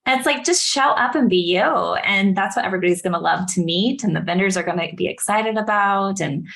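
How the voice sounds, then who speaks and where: Animated, bright-voiced woman podcast co-host. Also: mid-range voice, a little fast, gravelly. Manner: animated, acts out her own quoted reactions. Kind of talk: casual interview chat.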